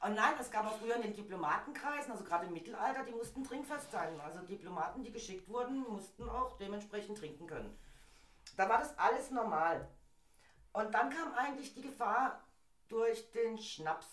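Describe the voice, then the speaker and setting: A middle-aged woman, slightly hoarse from a cold, chatting in an opinionated way as a podcast co-host. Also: on the high side, lively intonation, fairly quick, roomy sound. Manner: chatty, opinionated, laughs easily. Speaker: podcast co-host presenting a topic. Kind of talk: casual opinion chat.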